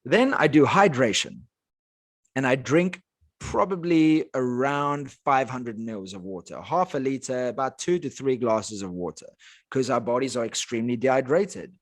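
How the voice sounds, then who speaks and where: A man with a South African accent, his first language Afrikaans, speaking as a life coach guest in a podcast interview with energetic, emphatic delivery. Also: mid-range voice, moderate pace. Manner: energetic, emphatic motivational talk.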